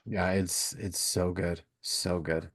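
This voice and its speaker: Low-voiced man hosting, dry sound. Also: little pitch movement, takes it slowly, no echo. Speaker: podcast host, interviewer. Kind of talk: casual interview chat.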